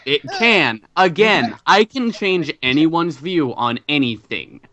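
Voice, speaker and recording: Boastful man with a bright, forceful voice. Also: on the high side, animated, slow, no echo. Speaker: tabletop game player. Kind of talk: casual in-character game banter.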